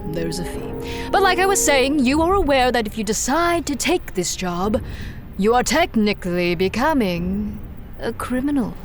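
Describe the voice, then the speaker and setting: An actress playing a businesslike, wry recruiter for supervillain henchmen in an audio drama, talking in a dry, reassuring way. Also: female voice, fairly high pitch, very animated, normal speed, over music. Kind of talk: audio drama acting, conversational job interview.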